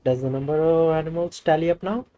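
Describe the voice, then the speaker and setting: Mellow man reading game dialogue. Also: fairly high pitch, quick pace. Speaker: game streamer. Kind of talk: reading game dialogue aloud while playing.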